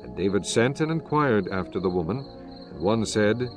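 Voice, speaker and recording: A man with a fairly low voice narrates scripture. His delivery is measured and solemn, as a scripted reading, and music plays clearly behind him. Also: sing-song.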